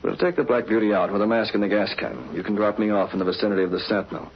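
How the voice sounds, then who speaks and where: An actor playing a calm, resolute crime-fighting hero in a 1940s radio drama, measured and decisive. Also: male voice, lowish voice, natural voice. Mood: decisive, planning. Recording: muffled, hissy 1940s radio recording.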